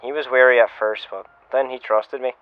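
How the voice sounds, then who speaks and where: A man playing a shaken security officer, giving a measured first-person incident report as a scripted audio log. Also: mid-range voice, steady tone, clear, resonant tone, no room sound, close to an everyday voice. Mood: tense recounting. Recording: muffled lo-fi radio-style sound, music behind. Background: music.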